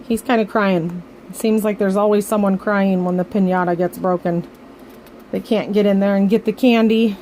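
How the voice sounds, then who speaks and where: A woman reads a children's storybook aloud in an expressive way, as a grandmother would for young listeners. Her pitch is medium, and there is some noise in the recording. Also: normal speed, poor audio. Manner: expressive, acting out the dialogue. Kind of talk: reading a children's picture book aloud with asides.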